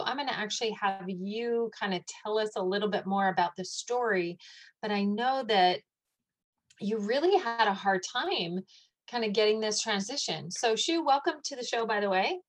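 A woman's voice: mid-range voice, normal speed. A woman hosting an interview, enthusiastic and prone to repeating herself as she introduces her guest. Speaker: host.

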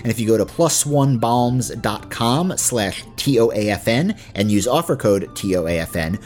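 A man's voice: medium pitch, a little mellow. A man hosting a podcast, reading sponsor messages in a conversational way with informal asides. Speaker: podcast host. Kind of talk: casual sponsor read. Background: faint music.

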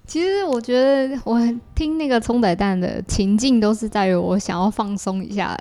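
A woman with a Taiwanese Mandarin accent explaining things casually as a podcast guest, with quick repeated words of agreement. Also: mid-range voice, warm tone. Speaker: podcast guest, creator of audio works.

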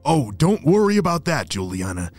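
A man's voice: on the high side, very animated, normal speed, darkish tone, soft background music. A man voicing a proud, reassuring spy agent from headquarters in a children's adventure story. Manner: praising, reassuring.

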